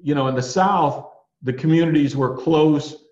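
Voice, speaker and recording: Older male attorney guest, measured storyteller, muffled and echoey sound. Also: fairly high pitch, a little mellow. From USA, Ohio and Alabama. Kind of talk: reflective storytelling interview. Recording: dull, roomy recording.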